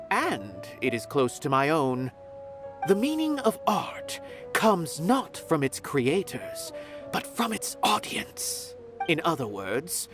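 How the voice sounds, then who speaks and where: An actor playing a haughty, eloquent male art connoisseur in a video game, speaking in a theatrical, grandiloquent way. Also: on the high side. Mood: lofty, reflective. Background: game music.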